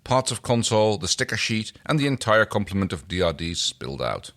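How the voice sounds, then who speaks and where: Low-voiced, animated man narrating a story. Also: fairly quick. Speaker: podcast co-host reading fan fiction aloud. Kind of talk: story reading with casual chat.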